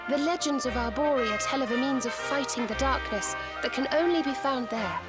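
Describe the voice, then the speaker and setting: Young fantasy heroine, female actor: high, polite, sincere game cutscene delivery over loud music. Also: normal speed, natural voice. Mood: earnest. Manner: earnest, polite. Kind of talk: video game cutscene acting.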